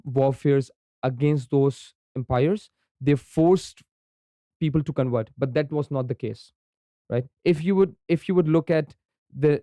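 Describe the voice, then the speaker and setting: Pakistani man explaining, mellow smooth voice. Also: mid-range voice, no echo, studio quality. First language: Urdu. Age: young adult. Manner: frequent ums and repeated "right?" tags. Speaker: podcast guest. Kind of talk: conversational explanation.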